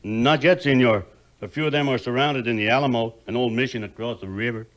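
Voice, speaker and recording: Actor as cautious Mexican traveler, medium-pitched. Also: male voice, a little fast, natural voice. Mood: polite, warning. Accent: Mexican Spanish. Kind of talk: 1950s audio play acting.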